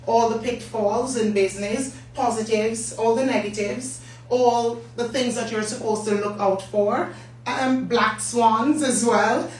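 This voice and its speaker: A hoarse middle-aged woman with a Jamaican accent hosts a business talk live stream, chatting and greeting viewers by name. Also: a little high. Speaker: business talk show host. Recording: echoey room, distant mic, faint hum.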